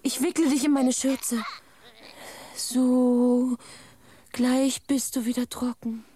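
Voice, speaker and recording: An actress playing an earnest, tender-hearted young woman in a radio play, expressive and talking half to herself. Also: high voice, takes it slowly, a crowd in the background. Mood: tender, fond.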